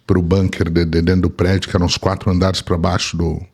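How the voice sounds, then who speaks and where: A middle-aged man whose first language is Arabic, a podcast guest recounting his life in a halting, reflective way. He often restarts and repeats words as he talks. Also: sits low, level tone, darkish tone, slightly rough. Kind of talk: personal storytelling in a conversation.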